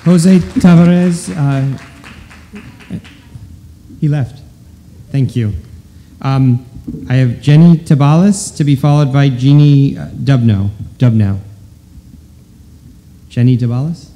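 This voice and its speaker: A man's voice: mid-range voice, slow, long pauses, dark tone. A man making brief procedural announcements as the chair of a public hearing in an auditorium. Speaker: hearing chair calling speakers. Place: large auditorium. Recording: reverberant hall with background noise.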